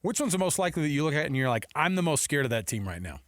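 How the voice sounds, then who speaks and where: A man's voice: a little high, very animated, racing, a bit bright, dry recording, studio quality. A man hosting a sports radio show, talking casually and putting questions to a guest.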